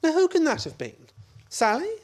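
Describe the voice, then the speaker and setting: A man's voice: very high voice, wildly swooping pitch, normal speed, exaggerated voice. An actor playing an exasperated, sarcastic man of the house, in 1930s radio-play style.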